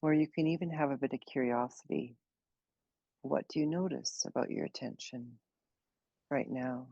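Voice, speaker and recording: A woman leading a guided meditation, speaking slowly and gently in an unhurried way with long pauses. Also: extremely low pitch, gravelly, slightly rough, no room sound, highs cut off. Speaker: meditation teacher.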